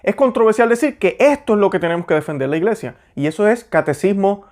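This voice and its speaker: A man's voice: high voice, expressive, fast, darkish tone. Earnest man hosting a faith show. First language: Spanish. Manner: earnest, conversational, with many fillers. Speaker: host. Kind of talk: religious commentary and prayer.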